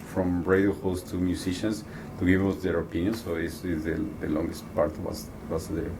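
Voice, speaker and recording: A man giving casual, halting interview answers at a trade show, often repeating words mid-sentence. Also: low voice, level tone. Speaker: loudspeaker designer and company co-founder. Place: busy audio trade show. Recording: noisy trade show floor.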